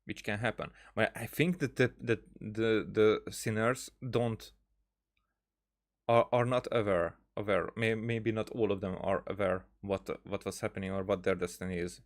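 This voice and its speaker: Man, lowish voice, gaming streamer, casual lore commentary, halting, rambling, thinking aloud. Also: fairly even intonation.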